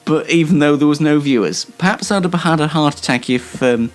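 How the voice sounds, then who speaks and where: A man's voice: fairly high pitch, brisk, soft background music. A man hosting an amateur radio show in a casual, rambling way, hesitant and wryly self-deprecating.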